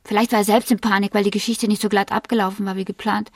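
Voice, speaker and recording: An actress playing a shaken, cautious woman in a radio crime drama, with a breathy, hushed, guarded delivery. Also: mid-range voice, little pitch movement, fast, dry recording, studio quality, distant mic, lightly acted. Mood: anxious, guarded.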